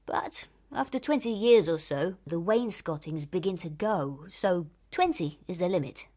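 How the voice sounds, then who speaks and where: A woman's voice: on the low side, expressive, unhurried, warm tone. A woman voicing a chatty, mischievous, mocking male ghost who fusses over haunting rules in a theatrical reading of comic verse. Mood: brisk, dismissive. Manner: theatrical, full of chuckles.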